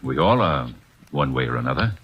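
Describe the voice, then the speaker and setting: An actor playing a formal, pompous club owner who hosts a card game, in a 1950s radio drama, heard on a dull lo-fi old radio recording. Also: male voice, rumbling low, very animated, fairly quick, breathy edge, exaggerated voice. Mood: poised. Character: smooth, formal middle-aged club owner.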